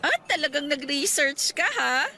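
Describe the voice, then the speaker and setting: An actress playing a candid, cautious young schoolteacher being courted in a radio drama, chatting in a Filipino accent and mixing in English. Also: female voice, high-pitched, measured pace, sharp tone, exaggerated voice. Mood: pleased, teasing. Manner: conversational, mixing Tagalog and English.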